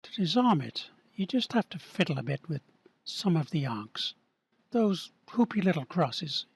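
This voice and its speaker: A man's voice: on the high side, sing-song, a little slow, creaky, breathy edge, full character voice. A man playing a frantic posh young captive. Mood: coaxing. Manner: upper-class British slang, urgent. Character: desperate young British man, captive, pleading. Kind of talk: amateur reading of a radio play.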